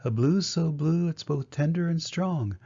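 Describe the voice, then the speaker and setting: A man's voice: a little high, unhurried, dark tone, slightly rough, pitched above the performer's own voice. An older man voicing a dreamy, wistful little boy from a storybook, in an earnest rhyming lilt. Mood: wistful, hopeful. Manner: earnest, sing-song rhyming. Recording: dull, muffled lo-fi sound.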